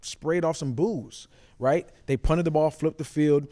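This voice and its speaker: A man's voice: on the high side, unhurried, mellow. A man working as a sports analyst, talking casually in slangy language and often repeating his phrases. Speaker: former player turned sports show analyst. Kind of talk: casual sports show discussion.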